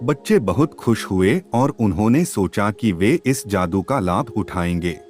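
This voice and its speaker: A man narrating a scripted children's story in a measured, expressive storybook manner. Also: fairly low pitch, soft background music.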